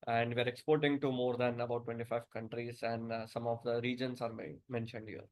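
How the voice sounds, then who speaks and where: A man with an Indian accent giving a business presentation as a company director, halting and often repeating words. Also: medium pitch, level tone.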